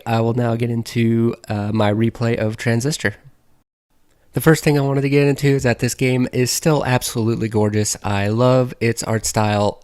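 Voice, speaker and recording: Hoarse man casually reviewing a game. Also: medium pitch, a little mellow, no room sound, clean studio sound. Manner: rambling, loose and conversational. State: hoarse from allergies, losing his voice. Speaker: game video host.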